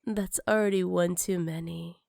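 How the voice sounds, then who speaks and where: A woman's voice: lowish voice, steady tone, normal speed, gravelly, darkish tone, no room sound, studio quality. A woman playing a jealous, flirty female villain in an intimate ASMR roleplay, speaking breathily and teasingly and often slipping into whispers. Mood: jealous, possessive.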